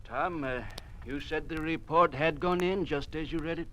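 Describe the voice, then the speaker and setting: An actor playing a cautious, loyal American party official in an old radio drama, earnest, formal and a little hesitant. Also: male voice, on the high side, normal speed, sharp tone. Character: cautious, loyal veteran American communist leader, male judge. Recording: noisy vintage radio recording.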